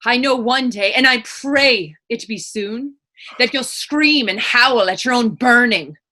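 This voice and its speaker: A woman's voice: fairly high pitch, unhurried. An actress playing a defiant, scornful woman in a stage drama, speaking in righteous, old-fashioned phrases. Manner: sharp, archaic, righteous phrasing. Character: defiant, scornful, pious-tongued woman accused of witchcraft. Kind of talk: stage readers theater drama.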